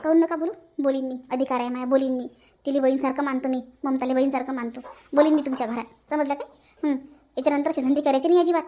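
A very high, almost childlike voice acted out for a cartoon plays a bossy village housewife. She speaks in Varhadi Marathi, scolding and repeating herself for emphasis. She is nosy, outspoken and comic. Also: steady tone, fast talker, warm tone, a little hiss or hum, close to an everyday voice. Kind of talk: comic family cartoon dialogue.

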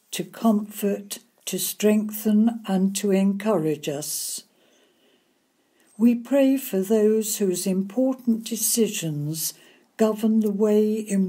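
A woman reading intercessory prayers for a church service in a measured, reverent, deliberate manner. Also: mid-range voice, dark tone. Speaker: lay prayer leader.

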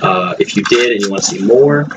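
A man with a fairly low voice talks off the cuff as a gaming video creator. His delivery is informal and a bit hesitant, wandering from thought to thought. Loud video game sound from a TV bleeds heavily into the microphone behind him. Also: a little fast, background noise. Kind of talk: casual unscripted gameplay commentary.

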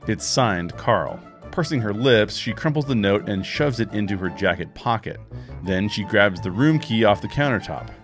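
A man in his forties reading screenplay action lines in a measured, wry way into a studio microphone in a home podcast studio. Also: on the low side, lively intonation, soft background music. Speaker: host and narrator. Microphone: studio microphone with headphones.

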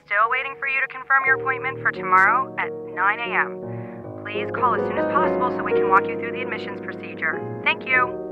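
A woman's voice: fairly high pitch, brisk, close to an everyday voice. Woman playing formal receptionist, muffled under music. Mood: polite, businesslike. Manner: formal, scripted politeness. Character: polite, efficient female clinic receptionist. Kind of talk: radio drama voicemail acting.

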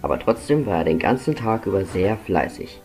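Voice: low voice, sing-song, a little fast, a little mellow. A performer gives a storybook narrator for a cartoon about engines. The telling is scripted and plain, with music running behind it. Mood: narrating.

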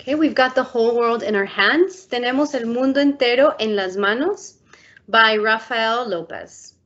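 Uma mulher de uns trinta anos, apresentadora de um programa infantil, lendo um livro ilustrado devagar e com calma numa chamada de vídeo. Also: female voice, a little high, normal speed. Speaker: outreach storytime host. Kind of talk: bilingual children's book reading. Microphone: laptop webcam on video call. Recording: muffled video call audio.

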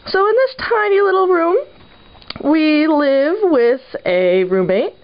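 A woman's voice: high voice, animated, slow, dry recording. A young woman, a college student, giving a casual narrated tour for a vlog. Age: young adult. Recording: dull lo-fi camera audio, faint noise.

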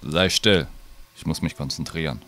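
A man's voice: extremely low pitch, normal speed, sharp tone. An actor playing a stern, greedy old druid, curt and commanding, absorbed in his magic. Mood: irritated.

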